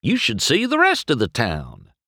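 A man voicing a cheerful, slow-witted old tow truck in an audiobook, with a rural Southern American accent and a folksy, eager, singsong manner. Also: fairly high pitch, wildly swooping pitch, bright, forceful tone, dry recording, clean studio sound, voice pushed up, exaggerated voice. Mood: proud, excited. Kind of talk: audiobook character voice.

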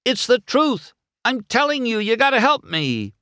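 Man playing a brash, desperate race car, very animated. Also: mid-range voice, a little slow, no echo, clean studio sound, pitched above the performer's own voice. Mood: desperate yelling.

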